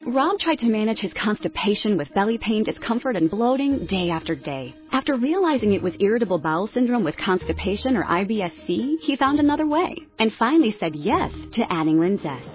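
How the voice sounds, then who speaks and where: Lively woman narrating a commercial. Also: mid-range voice, fairly quick, hardly any pauses. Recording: lo-fi radio with faint music.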